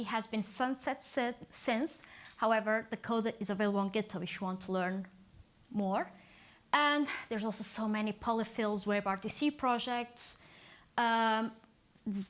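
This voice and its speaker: A woman's voice: mid-range voice, a bit bright. A young woman from Portugal, giving a chatty, upbeat talk at a tech meetup, often laughing at herself. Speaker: conference speaker.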